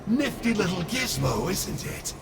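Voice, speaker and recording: A man's voice: sits high, big pitch swings, unhurried, creaky, heavily acted. A man playing a sly, theatrical traitor villain in a video game, with a mocking drawl. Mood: smug, gloating. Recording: echoey long reverb under loud game music.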